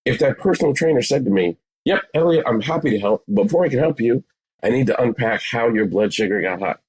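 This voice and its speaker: A man's voice: medium pitch, animated, fast talker, darkish tone, husky, low-quality recording. A man in his forties explaining things conversationally as an interview guest, emphatic and repeating phrases for stress. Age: 40s. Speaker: psychotherapist, podcast guest.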